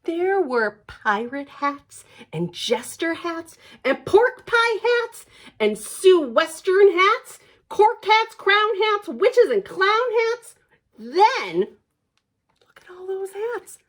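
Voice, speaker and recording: A woman reading a picture book aloud for a library storytime, expressive, with questioning asides to young listeners. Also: very high-pitched, slow. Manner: expressive, questioning, sing-song emphasis.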